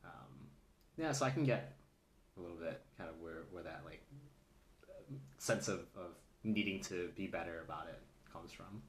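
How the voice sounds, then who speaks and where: A man hosting a casual chat, halting, with many fillers and restarts. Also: deep voice, creaky. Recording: echoey room.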